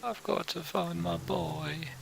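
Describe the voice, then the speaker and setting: A man's voice: a little high, fairly even intonation, takes it slowly, creaky, voice pushed up, heavily acted. A man voicing an anguished father searching for his only son in a game story. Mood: distraught.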